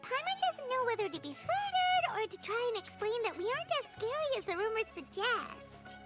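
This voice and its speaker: A woman voicing a small, chatty, excitable fairy companion in a video game, with a squeaky childlike voice. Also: normal speed. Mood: surprised, curious. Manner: bubbly, expressive, refers to self in third person. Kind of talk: English video game voice acting. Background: game music.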